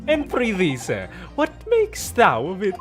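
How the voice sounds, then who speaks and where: A pompous stage-actor rogue from a video game. The voice leaps high and swoops dramatically through archaic, grandiose declamations, with music behind it. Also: male voice, huge pitch swings, a little slow, full character voice. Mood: haughty. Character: theatrical, pompous thief actor witness declaiming in mock-Shakespearean English. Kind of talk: video game character voice reading.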